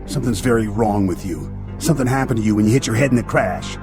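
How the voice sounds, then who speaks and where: A man's voice: lowish voice, fast, over music, natural voice. An actor playing a dutiful, suspicious junior spaceship crewman with an earnest military manner in a radio drama. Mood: accusing, urgent.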